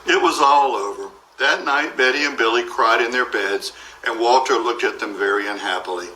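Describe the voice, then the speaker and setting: A man reading a children's story aloud in an expressive way, acting out the dialogue. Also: medium pitch, moderate pace, recorded from a distance. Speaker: storybook reader. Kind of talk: scripted children's story narration. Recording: roomy echo, faint background noise.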